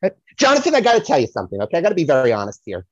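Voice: mid-range voice, huge pitch swings, rapid-fire, a little mellow, no echo, muffled recording. An actor playing a scatterbrained, self-promoting psychic in a comedy audio sketch, rambling and stalling theatrically. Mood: mock-mystical. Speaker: psychic guest on a podcast. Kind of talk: comic improvised audio sketch.

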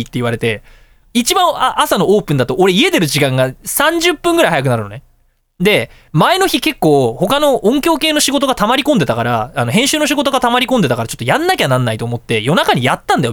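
A high-voiced man hosts a casual talk show with a very animated, swinging pitch. He tells everyday stories at a quick clip, throwing in exclamations and vocal sound effects. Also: no room sound. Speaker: radio show host. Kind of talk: casual anecdotal monologue.

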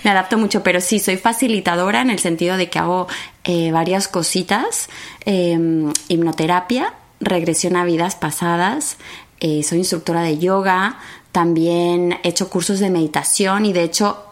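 A woman's voice: on the low side, normal speed. Effusive Argentine woman guest. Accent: Argentine Spanish mixed with Mexican and Spanish. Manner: effusive, mixes English phrases into Spanish. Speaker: podcast guest, spiritual facilitator. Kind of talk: casual conversation. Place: home.

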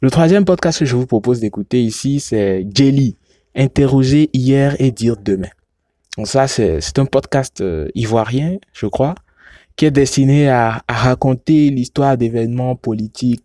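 A man's voice: mid-range voice, normal speed, mellow, no echo, studio quality. A man talking casually about podcasts he likes, often restarting and repeating words, whose first language comes from the Yoruba family. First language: a language derived from Yoruba. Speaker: podcast reviewer. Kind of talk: casual recommendation monologue.

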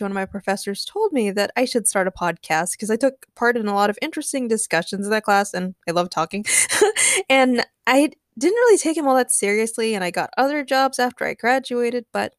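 A young woman hosts a podcast on her own with a fairly high voice. Her delivery is informal and gushing, with a giggly streak. The sound is dry, with very little room in it. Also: no room sound. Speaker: podcast host. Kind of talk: casual solo podcast chat and fan fiction narration.

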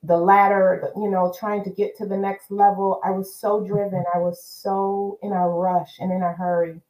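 Mellow-voiced woman in her fifties. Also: mid-range voice, normal speed, roomy sound, poor audio. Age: 50s. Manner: animated storytelling, repeats words for emphasis. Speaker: guest. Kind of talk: casual interview conversation.

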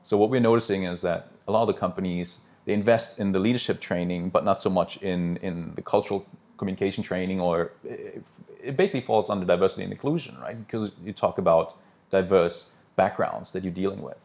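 Very deep, fast-talking male interviewer. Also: a little mellow. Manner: brisk, fluent, prompting questions. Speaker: interviewer, consultant. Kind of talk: conversational business interview.

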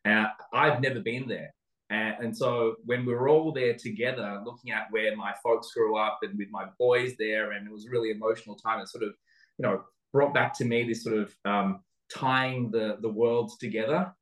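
Casting: Australian male interview guest, lowish voice, thoughtful and halting, recorded in a roomy echo. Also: fairly even intonation, fairly bright tone. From Sydney, Australia. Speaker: interview guest, venture capital investor. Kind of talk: conversational interview answer. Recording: roomy, echoing sound.

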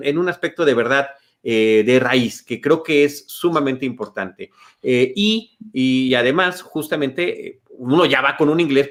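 A man hosting a casual chat, soft-spoken and hesitant, pausing often with fillers. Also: a little high. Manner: soft-spoken, hesitant, slow with many pauses. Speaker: host.

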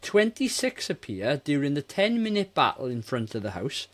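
A man's voice: on the high side, big pitch swings, moderate pace. A man in his forties giving blunt, casual opinions on a film as a podcast co-host. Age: 40s. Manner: blunt, mildly sweary.

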